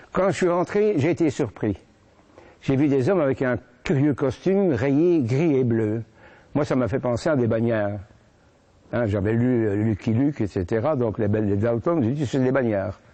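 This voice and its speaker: An elderly man in his eighties with a Belgian French accent from Brussels gives spoken testimony. His voice is mellow and dark, and he talks haltingly, restarting sentences and repeating words as he goes. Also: mid-range voice. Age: 80s. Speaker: witness giving testimony. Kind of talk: first-person spoken recollection.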